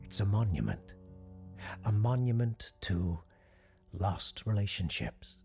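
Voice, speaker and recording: A low male voice narrates an eerie audio drama in a grave, deliberate way. The delivery is measured yet moves widely in pitch. Faint music plays underneath. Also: very animated, creaky, dark tone, heavily acted.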